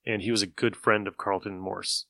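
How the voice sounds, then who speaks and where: A male host talks casually on a podcast. His voice sits low but sounds bright and forceful, in a very dry recording. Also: flat delivery, moderate pace, vocal fry, no echo. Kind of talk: casual chat.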